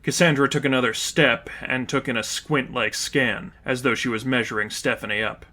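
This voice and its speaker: A man's voice: medium pitch, fairly even intonation, normal speed, pushed and bright. A man narrating scripted fiction as a storyteller, reading prose aloud.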